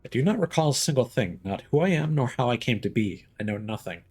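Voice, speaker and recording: A man's voice: mid-range voice, big pitch swings, fast talker, darkish tone, slightly rough, soft background music. A man reading the written musings of a cruel masked villain in a dramatic manner, over background noise. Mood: brooding menace. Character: cruel masked villain with lost memory, read from a note.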